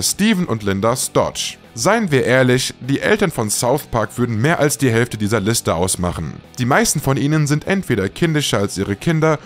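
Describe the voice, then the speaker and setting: A man reading a scripted voice-over as the narrator of a countdown video. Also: mid-range voice.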